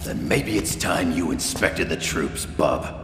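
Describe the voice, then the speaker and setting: An actor playing a gruff, cynical mutant brawler with a feral temper and a growling, terse delivery in a video game. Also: male voice, very deep voice, lively intonation, takes it slowly, music in the background, exaggerated voice. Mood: suspicious. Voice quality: gravelly, growling. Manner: terse, sardonic, colloquial. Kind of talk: video game cutscene acting.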